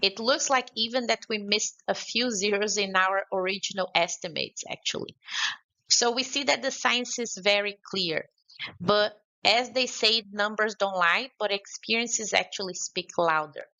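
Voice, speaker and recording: Bright-voiced Brazilian woman presenting. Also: mid-range voice, normal speed, poor audio. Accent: Brazilian Portuguese. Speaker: conference speaker. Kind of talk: prepared video address. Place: remote, pre-recorded video.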